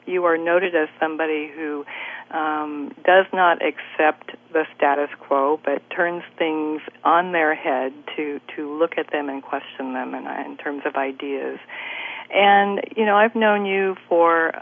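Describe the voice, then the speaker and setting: Effusive woman host on tinny audio. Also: low voice. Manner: effusive, chatty, many "you know"s. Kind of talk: radio interview hosting. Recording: tinny phone-quality broadcast.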